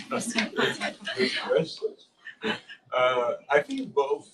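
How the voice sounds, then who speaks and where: A man with a French accent, native French speaker from Switzerland, giving a thoughtful, halting talk as guest lecturer in an informal discussion. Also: fairly high pitch, very animated, noisy background. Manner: thoughtful, halting, many restarts. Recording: distant mic.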